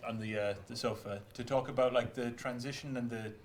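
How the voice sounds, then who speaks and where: A man talking quickly and casually as a game developer in an unscripted panel chat. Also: mid-range voice, steady tone, a little hiss or hum.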